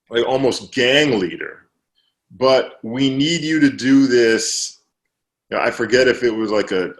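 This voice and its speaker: A man being interviewed as an author, explaining at length in relaxed conversation, with fillers and restarts. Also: mid-range voice.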